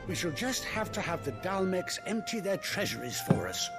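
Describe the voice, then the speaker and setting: A formal court dignitary in a fantasy game. The voice is fairly high for a man and very animated, courtly in phrasing, with music clearly audible behind. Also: normal speed. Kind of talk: cinematic fantasy game acting.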